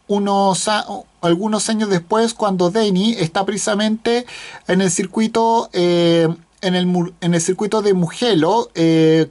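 Slow, hesitant woman. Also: mid-range voice, darkish tone. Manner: hesitant, many fillers and restarts. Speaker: film reviewer. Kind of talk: casual film review. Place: indoors, at a computer screen.

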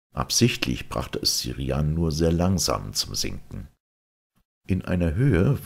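A man narrates a literary audiobook in an extremely deep voice with a gravelly creak. His reading is animated and moves freely up and down, with a husky grain to the sound. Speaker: audiobook reader. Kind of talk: scripted literary narration of a vintage science fiction story.

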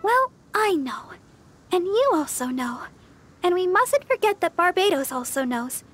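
A woman voicing a sweet, earnest young church deaconess in a video game, speaking politely, gently and hesitantly. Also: very high-pitched, big pitch swings, a little slow, full character voice. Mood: apologetic. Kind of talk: video game character dialogue.

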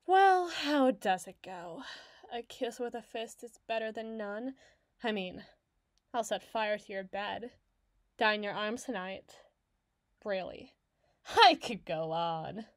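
Woman acting a slow-spoken, obsessive yandere girl. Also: mid-range voice, dry recording, studio quality, natural voice. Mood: needy, teasing. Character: obsessive, masochistic lovesick yandere girl, needy and taunting. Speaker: voice actor in an audio roleplay. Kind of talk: dramatic ASMR roleplay monologue.

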